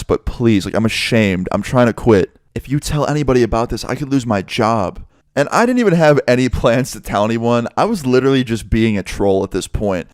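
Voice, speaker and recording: A man's voice: lowish voice, darkish tone, smooth, no room sound, studio quality. A young man casually telling a story about his school days, laid-back and swearing often.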